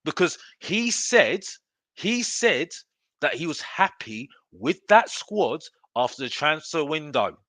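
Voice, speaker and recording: A man's voice: fairly high pitch, big pitch swings, takes it slowly, no echo. A man hosting a football fan vlog, giving an opinionated rant in an emphatic, repetitive way, leaning on rhetorical questions. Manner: addresses listeners as bro and ladies and gentlemen. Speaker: football fan channel host.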